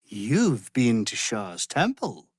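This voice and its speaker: An actor playing an arrogant, power-hungry wizard villain in a fantasy video game, with a haughty, theatrical, condescending manner. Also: male voice, medium pitch, wildly swooping pitch, slow pace, slightly rough, no echo, studio quality, exaggerated voice. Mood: imperious, probing.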